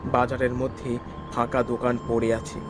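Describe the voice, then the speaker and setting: A man playing an earnest young man who narrates and speaks in an animated horror story, with music behind him. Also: medium pitch, normal speed, lightly acted. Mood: storytelling. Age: young adult.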